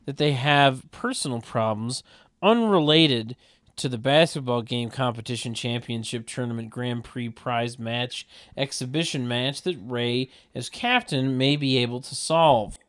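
A man narrating a comedy table read in a deadpan way, with mocking sardonic asides. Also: medium pitch, unhurried, no echo. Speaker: narrator reading stage directions.

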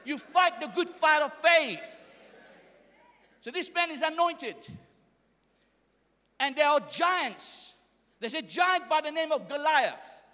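A young man preaching a sermon in a church, speaking English as a second language, fiery and often shouting in call-and-response with the congregation. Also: very high voice, expressive, takes it slowly, long pauses. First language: not English. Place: church hall. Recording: echoey church hall reverb.

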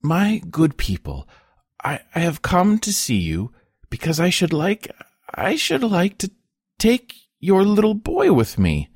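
A man's voice: high-pitched, huge pitch swings, takes it slowly, slightly rough, no room sound, clean studio sound, voice pushed up, exaggerated voice. A man voicing a wilful, spoiled wealthy wife, pleading in a broken, trembling, childlike way for a storybook. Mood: nervous pleading.

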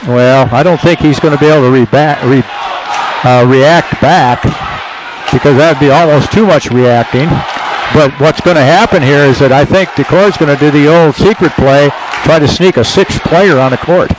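A man's voice: fairly high pitch, moderate pace. Folksy color commentator man. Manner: chatty, folksy, reflective asides. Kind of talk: casual radio color commentary. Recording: live gym broadcast with crowd noise.

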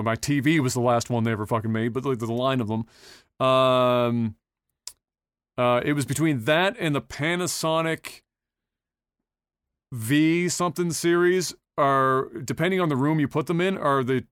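A young man hosting a podcast, talking loosely and profanely with plenty of ums and self-corrections. His voice is smooth and resonant, at an ordinary pitch. The sound is dry and close. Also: no room sound. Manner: rambling, profane, frequent fillers and restarts. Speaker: podcast host. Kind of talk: casual podcast chat.

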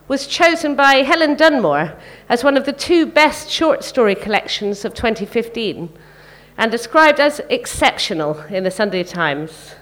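High-voiced woman hesitantly hosting an event. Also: a bit bright. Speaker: event host introducing readers. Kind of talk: informal live introduction, partly read out.

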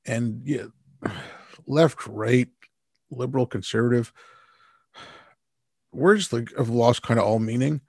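A man hosting an interview, casual and animated, with plenty of fillers. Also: medium pitch, takes it slowly, long pauses, breathy edge, no room sound, studio quality. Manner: animated, loose with fillers like "like" and "you know". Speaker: host. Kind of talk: conversational interview talk.

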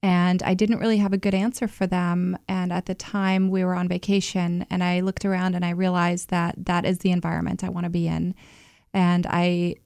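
A woman with a gravelly, fry-laden voice gives conversational interview answers. Her pitch stays quite flat, and the recording is close and dry as she recalls her own experiences. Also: normal speed, no room sound. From born in Switzerland, moved to New York. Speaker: interview guest, hotel general manager.

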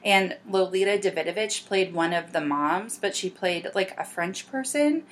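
A woman's voice: mid-range voice, moderate pace, a little hiss or hum. A woman in her thirties co-hosting a podcast, talking emphatically and casually with many filler words. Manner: emphatic, full of filler likes. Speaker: podcast co-host. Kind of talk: casual chat.

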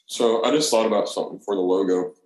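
A man's voice: fairly low pitch, fast, darkish tone. A man chatting casually in profane, slangy anecdotes, acting out the dialogue. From Alabama, United States. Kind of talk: casual chat, telling rambling anecdotes. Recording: echoey room.

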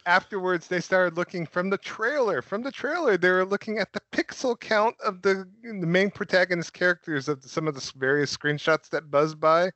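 A man's voice: high voice, moderate pace, few pauses, pushed and bright, dry recording, highs cut off. A man hosting a casual gaming podcast, joking and often laughing. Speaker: podcast host. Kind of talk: casual chat.

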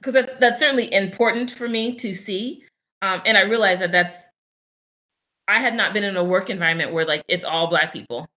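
A woman's voice: medium pitch, brisk, sharp tone. A young adult woman co-hosting a casual podcast, talking candidly and reflectively with many fillers and restarts. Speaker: podcast co-host.

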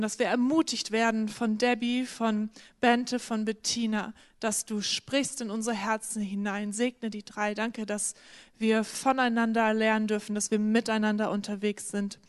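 A woman's voice: a little high, clear, resonant tone. A woman introducing the speakers at a church service and praying over them in an inviting, prayerful way.

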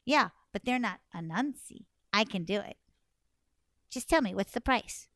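A woman's voice: medium pitch, animated, unhurried, a bit bright, dry recording, studio quality. A woman storyteller voicing a cocky, eager male trickster spider with a brash, emphatic manner. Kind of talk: oral storytelling.

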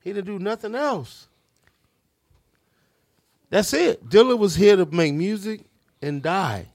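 A man's voice: high voice, lively intonation, takes it slowly, a little mellow, no room sound, studio quality. A man in his fifties from Chicago's South Side, co-hosting a podcast in casual chat and repeating his points emphatically. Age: 50s. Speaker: podcast co-host.

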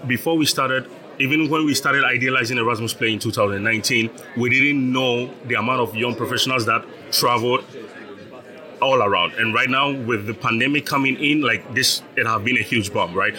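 A man's voice: medium pitch, bright, forceful tone. A young man from Ghana, a startup founder, explaining his business as an interview guest, patient, with frequent laughs and repeated words.

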